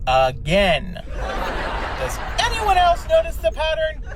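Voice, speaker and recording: A man's voice: very high voice, huge pitch swings, over a noisy crowd, very noisy, heavily acted. A man playing an anxious, sarcastic space scout in a comedy radio drama, nervous and wry. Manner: nervous, wry, fast-worried.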